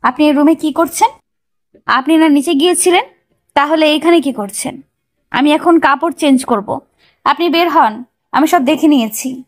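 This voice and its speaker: Woman acting a high-voiced young bride. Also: moderate pace, long pauses, lightly acted. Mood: indignant. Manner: bashful, protesting. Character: shy but feisty young bride.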